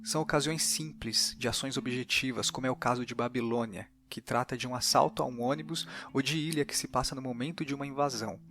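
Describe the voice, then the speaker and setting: Brazilian man narrating over soft guitar. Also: mid-range voice, fairly even intonation, fast, hardly any pauses. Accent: Brazilian Portuguese. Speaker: podcast host. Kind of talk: scripted analytical narration. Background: faint guitar music.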